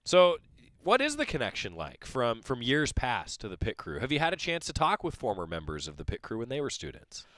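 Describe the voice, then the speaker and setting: Lively thirty-year-old male radio host. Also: mid-range voice, brisk, dry recording. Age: 30s. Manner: lively, chatty, friendly interviewer with frequent affirmations. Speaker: radio sports show host. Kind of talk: casual interview chat. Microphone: studio mic.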